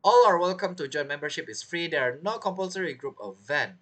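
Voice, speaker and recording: A man's voice: fairly high pitch, animated, fairly quick, fairly bright tone. A man giving chatty, casual playthrough commentary, reacting to the game and reading its text aloud. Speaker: game streamer.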